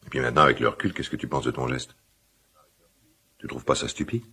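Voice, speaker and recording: An actor playing a gruff, quick-tempered but caring middle-aged father in a radio drama, with halting, repetitive, colloquial speech. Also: pushed and bright, exaggerated voice. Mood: earnest, affectionate. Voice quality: deep, gravelly, husky. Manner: halting, repeats himself, blustering colloquial speech. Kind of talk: naturalistic French radio drama acting.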